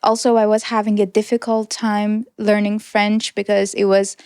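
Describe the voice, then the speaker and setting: Earnest young woman, smooth clear voice. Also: medium pitch, flat delivery, moderate pace, darkish tone, no echo, clean studio sound. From Iran. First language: Persian. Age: 20s. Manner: reflective, earnest, with small restarts and light laughs. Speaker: interview guest. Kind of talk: personal testimony in conversation.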